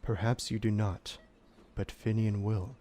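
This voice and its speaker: A man's voice: on the low side, fairly even intonation, mellow, close to an everyday voice. Man acting a solemn, formal demon servant. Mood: resolute. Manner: formal, measured, archaic phrasing without contractions. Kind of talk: audio drama acting.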